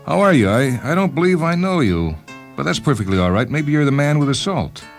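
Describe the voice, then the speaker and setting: A man's voice: fairly high pitch, sing-song, fairly quick, pitched above the performer's own voice. A man voicing a merry, unbothered young 1930s New Yorker in a radio short-story reading, chatty and breezy. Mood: breezy, friendly. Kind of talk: dramatized short-story character voice. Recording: music behind the reading.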